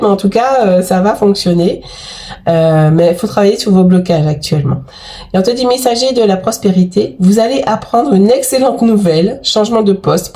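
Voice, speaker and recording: Warm-voiced woman doing an effusive card reading. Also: medium pitch. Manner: chatty and effusive, frequent exclamations and fillers. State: has a cold. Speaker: tarot and oracle card reader. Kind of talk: casual spoken card reading to viewers.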